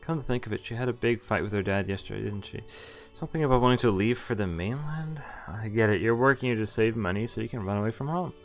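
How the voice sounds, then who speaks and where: A man's voice: lowish voice, fast. A man streaming a visual novel, reading its text aloud in an informal, jokey way and stumbling now and then as he adds casual asides. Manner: informal, jokey, stumbling over read lines. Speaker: video game streamer. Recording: music playing behind the voice. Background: game music.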